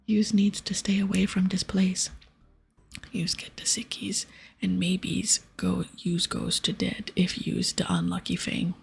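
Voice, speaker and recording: A woman's voice: on the low side, steady tone, heavily acted. A woman voicing a dim, worried male ogre in whispered, broken baby-talk for a game. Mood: worried warning. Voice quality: whispered, breathy. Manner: slow, broken childlike grammar.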